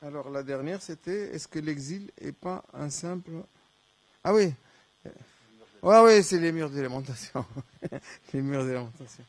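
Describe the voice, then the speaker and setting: A man's voice: fairly high pitch, lively intonation, unhurried, pauses often, dry recording. A man from Morocco whose first language is Darija, answering questions at a conference with many restarts and fillers. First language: Moroccan Arabic (Darija). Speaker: conference speaker. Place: lecture hall.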